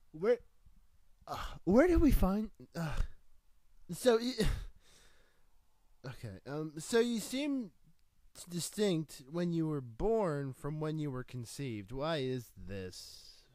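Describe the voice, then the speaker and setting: Actor as a flustered, animated radio interviewer. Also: male voice, on the high side, takes it slowly, pauses often, dry recording. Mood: baffled. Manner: stammering, incredulous, primly scolding. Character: flustered, easily offended male radio personality interviewing a guest. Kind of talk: comedic radio theatre interview.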